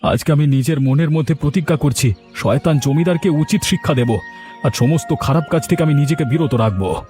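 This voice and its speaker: A man's voice: a little high, fairly quick, music in the background, natural voice. A man voicing an earnest, repentant village man in a cartoon, emphatic and resolute. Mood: regretful, resolute. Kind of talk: cartoon dialogue.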